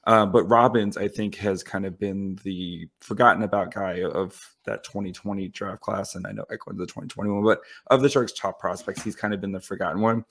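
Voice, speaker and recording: A man who is a podcast guest, his voice raspy after losing it, chatting casually about hockey. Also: low-pitched, steady tone, a little fast, hardly any pauses, fairly bright tone. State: raspy, partly lost voice. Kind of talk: casual chat.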